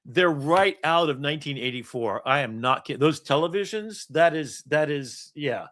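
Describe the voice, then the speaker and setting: A middle-aged man telling long, rambling stories in an easy chat, laughing between lines. His pitch sits a touch high and swings widely as he talks. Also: normal speed. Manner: storytelling, animated, laughs often. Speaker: podcast host.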